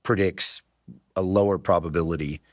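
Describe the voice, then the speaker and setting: Man, middle-aged, from the Bay Area, very deep voice, energetic storytelling host, dry close mic. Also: moderate pace, no echo. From San Francisco Bay Area, US. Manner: energetic, storytelling, rhetorical questions. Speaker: podcast host. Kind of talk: casual interview chat.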